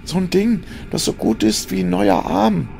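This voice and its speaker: A man's voice: sits high, normal speed, creaky, a little mellow, slight background noise, voice pushed up. A man voicing a drunken, nagging woman with a pushy, slangy way of talking, in an audio story. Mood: drunken coaxing.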